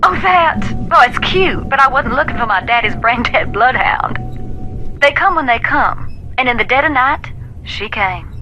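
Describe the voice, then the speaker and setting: A woman's voice: high voice, lively intonation, unhurried, sharp tone, lo-fi sound, faint music behind, full character voice. An actress playing a folksy, intense Southern American woman who talks to spirits, telling dramatic stories in a video game. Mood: eerie storytelling. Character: folksy, intense woman ghost-seer, knowing and haunted.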